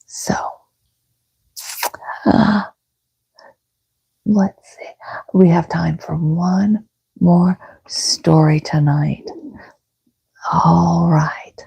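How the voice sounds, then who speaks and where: A woman reads bedtime stories in a soft, whispery ASMR style, gentle, soothing and unhurried. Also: fairly low pitch, steady tone, no echo, poor audio. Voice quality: breathy, whispery. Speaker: host reading bedtime stories. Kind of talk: soft-spoken ASMR storybook reading.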